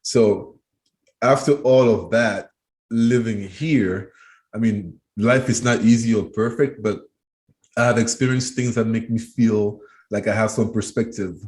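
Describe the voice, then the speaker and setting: Man in his 30s, from Cameroon, lowish voice, reflective, restarts sentences. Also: measured pace, darkish tone. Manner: thoughtful, with frequent restarts and repeated words. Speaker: guest, education trainer. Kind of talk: interview conversation.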